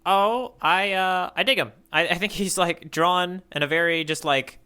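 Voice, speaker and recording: A man co-hosting a podcast, musing casually in an easygoing, halting way. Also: sits high, sharp tone, smooth. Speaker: podcast co-host. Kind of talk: casual chat.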